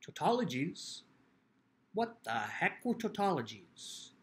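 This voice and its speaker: A man in a dramatic book reading, playing an earnest, self-mocking, lonely teenage boy in an animated, expressive way. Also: on the high side, takes it slowly. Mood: flustered, joking. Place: small furnished room at home. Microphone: video call.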